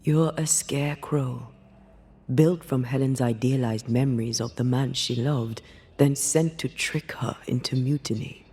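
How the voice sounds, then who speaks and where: Gravelly, blunt male companion. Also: medium pitch, fairly even intonation, a little slow, a little mellow. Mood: scornful. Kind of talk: dramatic video game acting.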